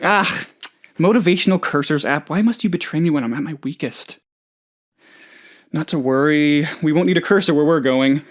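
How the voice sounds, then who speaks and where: A man voicing a naive, excitable oddball office boss in a video game, chatty and rambling, with hesitant, earnestly gullible delivery. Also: a little high, normal speed, close to an everyday voice. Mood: flustered, whimsical. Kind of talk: video game character dialogue.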